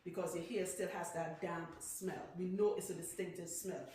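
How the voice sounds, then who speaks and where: A woman's voice: fairly low pitch, fairly even intonation, normal speed, warm tone. A woman with a Trinidadian accent, a loctician chatting and teasing while she demonstrates hair care in a salon. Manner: chatty, teasing, lots of "right?" and "okay?". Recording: very echoey room, faint noise.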